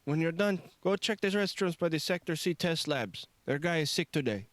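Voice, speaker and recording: A man's voice: fairly high pitch, lively intonation, normal speed, no echo, pitched above the performer's own voice, lightly acted. A man voicing an irritable, wheezing male boss in an audiobook, snapping orders. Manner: snappish, barking.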